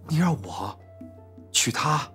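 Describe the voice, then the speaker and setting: A man's voice: fairly high pitch, expressive, slow pace, bright, forceful tone, soft background music, heavily acted. An actor in a TV drama playing a put-upon young adjutant, impatient and whiny as he protests. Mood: reluctant. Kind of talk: TV drama acting.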